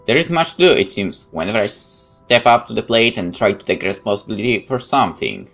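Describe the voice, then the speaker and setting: A man's voice: on the low side, very animated, brisk, creaky, a bit bright. A man doing a let's play, reading a visual novel's narration aloud and adding wry casual commentary, with game music behind him. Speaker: gaming YouTuber.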